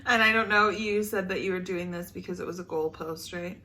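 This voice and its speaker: Woman telling stories on a couples vlog, bright voice, teasing and casual, bit of room noise. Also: fairly low pitch, fairly quick. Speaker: vlog co-host telling stories over photos. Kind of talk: casual storytelling chat with partner.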